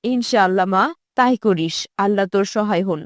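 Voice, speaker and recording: A woman voicing a pious, caring, sorrowful mother in a cartoon drama, gently admonishing. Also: mid-range voice, a little fast, pitched below the performer's own voice, natural voice. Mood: thankful. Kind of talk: animated moral-drama dubbing.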